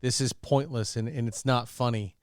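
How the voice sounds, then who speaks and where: A man with a clear, mid-pitched voice chats on a panel show in a dry-sounding recording. He is emphatic and opinionated, slipping into acted-out quotes as he makes his points in informal language. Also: steady tone, moderate pace, no room sound. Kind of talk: casual chat about movies.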